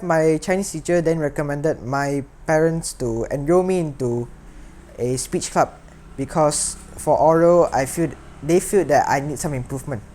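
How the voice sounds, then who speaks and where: A man's voice: a little high, a little mellow. A teenage boy with a Singaporean accent, earnestly sharing his own story and often restarting or repeating words. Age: teens. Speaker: secondary school student. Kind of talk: personal talk to camera.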